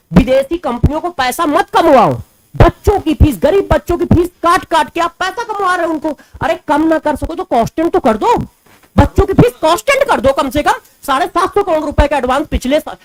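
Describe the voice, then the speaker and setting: A woman educator speaks to her students in a high, lively voice that swoops up and down. She hammers her points home by repeating the same figures over and over. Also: rough recording. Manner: emphatic, repeats figures for stress. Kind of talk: impassioned commentary to camera.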